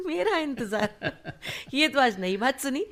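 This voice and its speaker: A fairly high female voice playing an affectionate elder sister and wife in a radio drama. She is gentle and expressive, a nostalgic mother of grown sons with respectful manners. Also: takes it slowly, full character voice. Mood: teasing, fond. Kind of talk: radio play acting.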